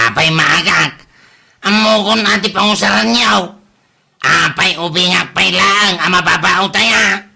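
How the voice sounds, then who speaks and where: A man's voice: sits high, slow pace, creaky, bright, forceful tone, breathy edge, full character voice. A man playing a blustering, boastful, exclamatory uncle in a comedy drama. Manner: exclamatory, repeats words. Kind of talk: Ilocano comedy drama acting.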